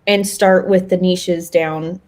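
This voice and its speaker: Unhurried woman co-hosting a podcast. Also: lowish voice, steady tone, darkish tone. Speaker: podcast co-host reading audience questions. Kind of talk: casual chat, reading out questions.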